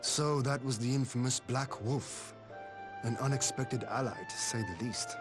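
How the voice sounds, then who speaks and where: An actor playing a composed, courteous adventurer in a video game, speaking in an articulate, formal way. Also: male voice, mid-range voice, unhurried, music in the background, natural voice. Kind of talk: video game cutscene acting.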